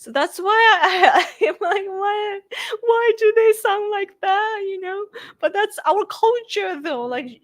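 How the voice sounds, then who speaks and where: A woman's voice: very high voice, expressive, slow, dry recording, clean studio sound. A Japanese woman in her late thirties with a Japanese accent, chatting casually on a live stream and laughing often as she answers viewers. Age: late 30s. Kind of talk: casual chat.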